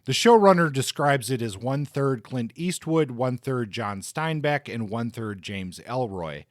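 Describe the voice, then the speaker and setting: A man hosting a podcast, reviewing things in a casual, conversational way with measured opinions. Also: medium pitch, no room sound, clean studio sound. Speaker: podcast host.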